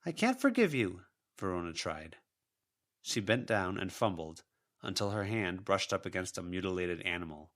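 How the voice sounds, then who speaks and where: Bright, low-voiced male audiobook narrator. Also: fairly even intonation, moderate pace. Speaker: audiobook narrator, volunteer reader. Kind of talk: scripted fiction narration.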